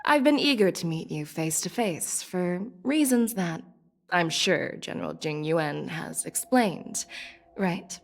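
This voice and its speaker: A woman's voice: fairly low pitch, lively intonation, takes it slowly, creaky, heavily acted. An actress playing a confident, courteous woman general in a video game, speaking in a poised, formal way. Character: confident, courteous female army general, probing. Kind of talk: scripted game dialogue. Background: background music.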